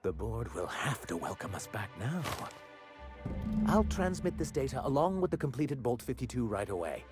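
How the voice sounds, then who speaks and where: An actor playing an earnest, proud, bookish idealist in a video game, speaking formally and precisely. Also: male voice, mid-range voice, very animated, normal speed, over loud music, natural voice. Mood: excited, determined. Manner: formal, precise, self-important.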